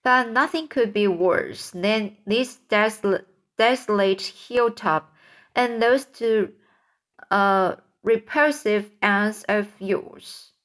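A kindly storybook ladybug speaks with motherly reassurance, as a woman reads her lines. She takes her time, pausing and groping for words as she goes, never hurried. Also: mid-range voice, fairly bright tone. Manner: reassuring, hesitant with fillers.

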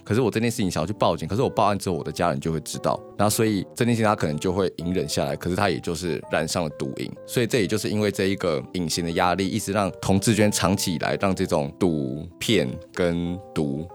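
A man's voice: low voice, fast, hardly any pauses, soft background music. A man with a Taiwanese Mandarin accent co-hosting a show in casual, teasing chat. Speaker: podcast and radio show co-host.

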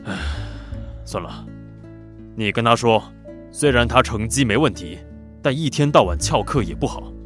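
An actor playing a patient, resigned male high-school teacher in an audio drama, matter-of-fact and mildly weary. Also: animated, normal speed, long pauses, fairly bright tone, faint music behind, natural voice. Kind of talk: Mandarin audio drama acting.

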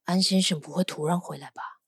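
A woman playing a calm, observant young investigator in an audio drama, speaking in a composed, understated way. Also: on the low side, fairly quick, background noise, lightly acted. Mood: cautious.

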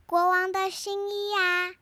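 A woman's voice: extremely high pitch, little pitch movement, slow, sharp tone, dry recording, exaggerated voice. A young girl playing an earnest, outspoken storybook heroine, reciting her lines carefully. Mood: puzzled. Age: child.

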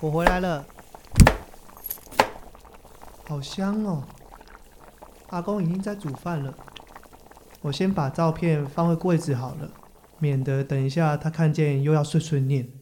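A man's voice: a little high, steady tone, moderate pace, dark tone, slight background noise, lightly acted. A man playing a young university student, a sulky but caring grandson who mutters expressively to himself in an everyday radio drama. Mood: chatty, then alarmed. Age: young adult. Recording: music under the voice. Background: new-age music.

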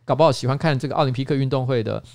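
A man's voice: mid-range voice, rapid-fire, creaky, darkish tone, dry recording, studio quality. A man with a Taiwanese Mandarin accent hosts a podcast in casual chat, telling stories in a joking, rambling way. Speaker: podcast host.

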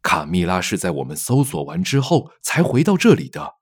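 A man playing a reassuring, talkative middle-aged father in an audio drama, explaining things in an expressive, fatherly way. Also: deep voice, normal speed, husky, dry recording, clean studio sound, full character voice.

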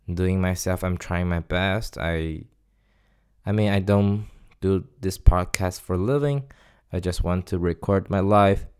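A man in his twenties from Taiwan, with a Taiwanese accent and Mandarin Chinese as his first language, hosting a casual solo podcast and pausing often to search for words. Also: low-pitched, darkish tone, clear, resonant tone. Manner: hesitant, searches for words with many pauses. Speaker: podcast host.

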